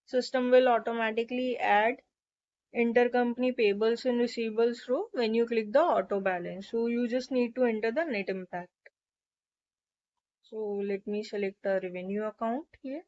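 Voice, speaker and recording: A woman with a fairly high voice talks through an on-screen process step by step. Her delivery is instructional and explanatory, as a tutorial presenter. The recording is slightly dull and lo-fi. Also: normal speed, darkish tone. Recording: dull, lo-fi microphone sound.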